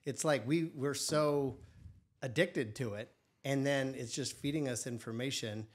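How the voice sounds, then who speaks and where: Man, podcast co-host, earnest, reflective, trails off, mid pitch. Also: a little slow. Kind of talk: casual chat.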